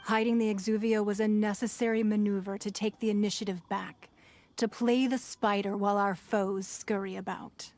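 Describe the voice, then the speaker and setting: A woman playing a poised, shrewd and authoritative noblewoman in an anime video game, speaking in a formal, composed, measured way. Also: medium pitch, little pitch movement, noisy background, lightly acted. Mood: composed, solemn. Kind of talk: anime video game voice acting, expository dialogue. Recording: music behind the voice. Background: game music.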